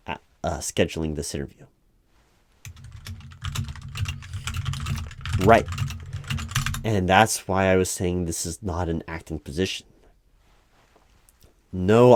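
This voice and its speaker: A man playing a weary, politely insistent recruiting manager in a one-sided interview roleplay, over-explaining in a halting, repetitive way at normal speaking volume. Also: low voice, a little mellow, no echo. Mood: patient explaining. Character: exasperated male recruiting manager, polite but increasingly weary. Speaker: job interviewer.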